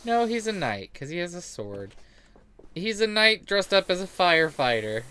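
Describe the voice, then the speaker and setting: A man's voice: sits high, sing-song, normal speed, bright, forceful tone. A man chatting casually as he streams a game, rambling and joking with plenty of swearing. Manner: crude, jokey, rambling, sweary; acts out quoted remarks. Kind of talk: casual gaming commentary and chat. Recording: clear background noise.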